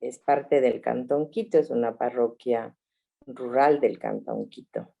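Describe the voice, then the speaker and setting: A woman in her sixties, a family doctor from Ecuador, telling her story reflectively with soft laughs over a video call. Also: very deep voice, unhurried, vocal fry, narrow, tinny audio. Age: 60s.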